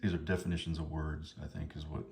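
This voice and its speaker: A man's voice: extremely low pitch, little pitch movement, quick pace, vocal fry, mellow. A man in his fifties chatting casually to viewers in a rambling, hesitant, amused and apologetic way. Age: 50s. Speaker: vlogger showing books from a collection. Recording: noticeable background noise.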